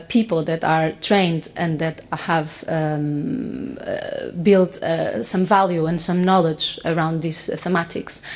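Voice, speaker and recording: A woman from Portugal making a spontaneous comment from the audience at a conference, halting with many fillers. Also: fairly low pitch, darkish tone. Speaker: audience member. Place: parliament conference hall.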